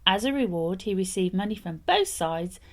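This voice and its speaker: A woman reads a fairytale in a medium-pitched voice. Her delivery is expressive, with lively swings of intonation that suit a storyteller. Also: normal speed.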